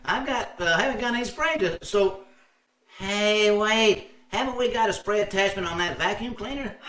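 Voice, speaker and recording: A man acts out an indignant, scheming husband from an old radio comedy. His bright, sharp voice blusters and exclaims, tripping over words. It sounds roomy and distant, as on a home video call. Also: sits high, normal speed. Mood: grumbling.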